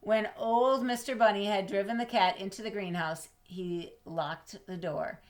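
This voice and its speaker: A woman reading a children's storybook aloud expressively, with dramatic pauses, drawn-out words and questions to her young listeners. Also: mid-range voice, steady tone. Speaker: storybook reader.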